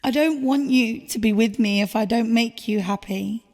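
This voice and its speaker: Audio drama girlfriend female actor: very high voice, hurt, defensive, pleading to keep her man, in a reverberant hall. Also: moderate pace, a little mellow. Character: pleading, wounded girlfriend clinging to her relationship. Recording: long reverberant hall sound.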